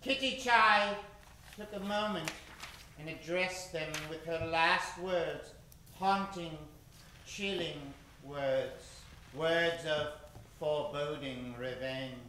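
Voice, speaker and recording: A man narrating an old time radio drama as host, theatrical and suspenseful with halting phrasing. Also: fairly high pitch, sharp tone. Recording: very echoey hall, clear background noise.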